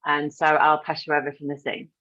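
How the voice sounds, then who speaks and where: A woman's voice: low-pitched, completely flat delivery, a little fast, pushed and bright, muffled recording. A woman from the UK hosting a live webinar, chatty and full of fillers. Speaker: webinar host.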